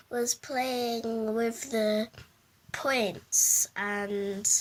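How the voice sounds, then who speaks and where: A female speaker giving a casual testimonial in simple words, with a halting delivery. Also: a little high, a little hiss or hum. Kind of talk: spoken testimonial, casual interview answers.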